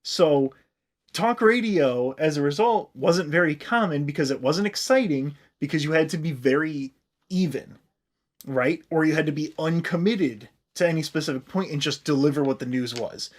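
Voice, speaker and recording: An American man co-hosting a comedy podcast, explaining history in casual, profane, animated chat. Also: on the high side, normal speed. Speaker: podcast co-host.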